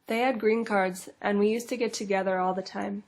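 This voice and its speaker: A frightened immigrant mother in her mid-thirties, read by a woman recounts her story quietly and earnestly. Her voice sits at a plain middle pitch, steady and unforced, as in a scripted teaching case. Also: fairly quick, close to an everyday voice. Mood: earnest, fearful. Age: 30s. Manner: quiet, earnest, fearful first-person telling. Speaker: case-study reader. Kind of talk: scripted first-person case narration.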